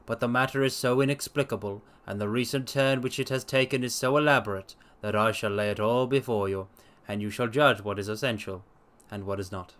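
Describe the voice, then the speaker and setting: A man voicing an earnest, modest young Victorian doctor, formal and measured, in a dramatic story reading. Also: fairly low pitch, steady tone, a bit bright, voice pushed down, close to an everyday voice.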